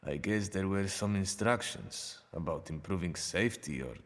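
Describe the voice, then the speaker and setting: Low-voiced Soviet lieutenant in reverb. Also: male voice, moderate pace. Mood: wry, subdued. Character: male Soviet lieutenant liquidator, plain-spoken, wry and dutiful. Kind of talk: video game voice acting, cutscene dialogue.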